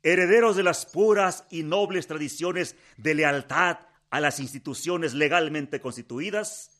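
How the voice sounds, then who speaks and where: Formal, higher-voiced president. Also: male voice, fairly bright tone, slight background noise. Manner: formal, oratorical, measured. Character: male president rallying loyal troops, solemn and composed. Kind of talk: Spanish-language historical radio drama acting.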